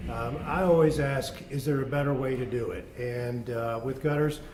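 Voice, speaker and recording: A man, a home builder, hosting and interviewing on site in a measured way with frequent fillers. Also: medium pitch. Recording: echoey, with noticeable background noise.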